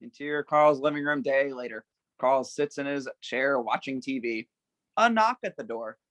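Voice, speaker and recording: A man with a gravelly voice reading stage directions as the narrator of a script table read. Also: mid-range voice, moderate pace, a bit bright, no echo.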